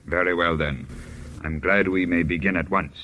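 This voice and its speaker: A man acting a mysterious, controlling occultist in a vintage radio drama, speaking with formal, measured courtesy. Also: sits low, fairly even intonation, highs cut off, natural voice. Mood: suavely courteous. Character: mysterious male occultist, courteous, calmly controlling, secretive master. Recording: noisy old radio broadcast recording.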